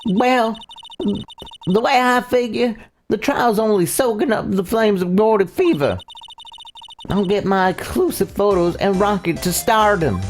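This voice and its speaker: A woman voicing a brash, folksy, scheming Southern photographer with a Southern US accent and a rambling, halting delivery in a voiced visual novel playthrough. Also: medium pitch, close to an everyday voice. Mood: confessional. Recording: faint game music behind. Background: video game music.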